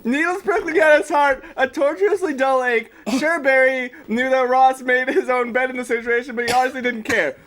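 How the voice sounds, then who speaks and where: A very high-voiced man reads a story aloud on a livestream with his mouth on fire from hot sauce. He slips between narration and exclaimed banter. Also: steady tone, moderate pace, hardly any pauses, a bit bright. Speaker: streamer reading fan fiction aloud. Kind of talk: fan fiction reading mixed with casual banter. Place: group livestream with others reacting.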